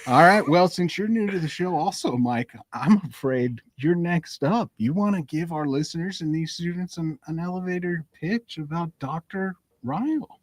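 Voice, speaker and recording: A man's voice: a little high, lively intonation, normal speed, few pauses, no echo. A man hosting a podcast in a conversational way. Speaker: podcast host.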